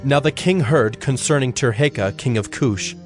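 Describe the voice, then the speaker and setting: A man serves as narrator of a dramatized scripture recording. His voice sits at a middle pitch, reading scripted narration. Faint music accompanies him. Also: moderate pace.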